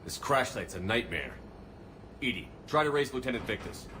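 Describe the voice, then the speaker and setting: A man's voice: mid-range voice, animated, normal speed, bright, forceful tone, slight background noise. A man playing a tough, commanding space marine in a video game, giving clipped, decisive military orders. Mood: focused, stern. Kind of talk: video game cutscene acting.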